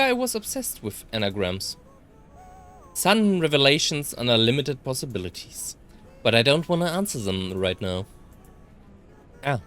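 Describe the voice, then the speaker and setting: A German man with a German accent reading first-person visual novel text aloud as a let's player, in an expressive way. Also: mid-range voice.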